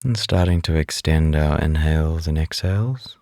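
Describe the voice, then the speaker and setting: A man leading a guided meditation as an instructor, speaking in a calm, unhurried, instructive way. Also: very deep voice, dry recording, clean studio sound.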